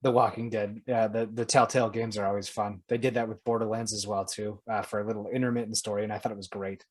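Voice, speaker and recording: A man's voice: lowish voice, level tone, quick pace. A man co-hosting a podcast in casual, conversational chat. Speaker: podcast co-host.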